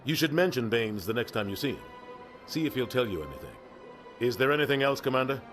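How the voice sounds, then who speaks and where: A man's voice: medium pitch, animated, fast, fairly bright tone, soft background music, close to an everyday voice. World-weary officer for a game, male actor: mid-range voice, clipped, matter-of-fact. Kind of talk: video game character acting.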